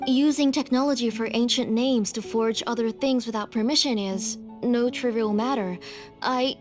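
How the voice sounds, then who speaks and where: A woman's voice: on the high side, normal speed, natural voice. A woman voicing an anxious, devoted mother in a video game, earnest, humble and protective. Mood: solemn, resigned. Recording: prominent background music under the dialogue.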